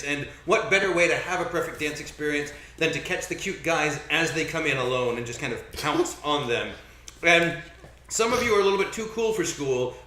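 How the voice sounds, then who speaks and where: A man's voice: fairly high pitch, sharp tone. A man narrating a live tabletop game as game master in a playful, easygoing way. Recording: roomy echo.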